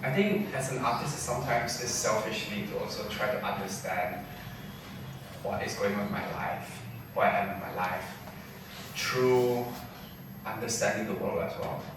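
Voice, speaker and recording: Low voiced guy from malaysia at a gallery talk, halting, thinking out loud, far off mic, roomy with noise. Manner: thoughtful, halting. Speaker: textile artist. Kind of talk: artist talk. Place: gallery event space.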